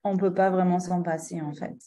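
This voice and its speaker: A middle-aged woman from Guinea speaking as an interview guest and mother. She talks slowly and hesitantly, with many fillers and restarts and light laughs. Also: on the low side, flat delivery, dark tone. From Guinea, of Lebanese descent. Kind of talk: conversational interview.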